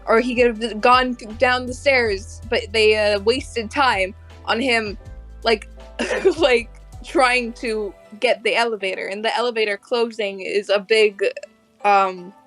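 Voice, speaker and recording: A woman co-hosting a podcast, talking casually as a fan in a halting way that circles back mid-thought. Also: on the high side, fairly even intonation, a bit bright, soft background music. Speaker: podcast co-host. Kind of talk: casual fan discussion of an animated series.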